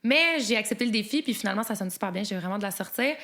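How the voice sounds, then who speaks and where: A young woman with a Québécois accent, chatting casually as a guest singer and talking in quick runs full of "tu sais". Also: mid-range voice, expressive, pushed and bright. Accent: Québécois French. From Quebec. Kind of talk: casual interview chat.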